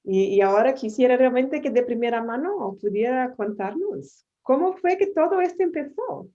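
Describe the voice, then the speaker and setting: A woman's voice: fairly high pitch, lively intonation, normal speed, dark tone, highs cut off. A woman hosting an interview, attentive and affirming as she sets up her question. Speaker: interviewer, host.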